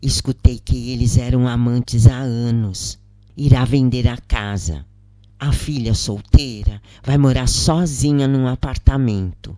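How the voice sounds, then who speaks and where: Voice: mid-range voice, a little slow, dark tone, dry recording, lightly acted. A narrator voicing a worried, loving mother who pleads and confides in an audio story. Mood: confiding. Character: worried, loving working-class mother of prisoner.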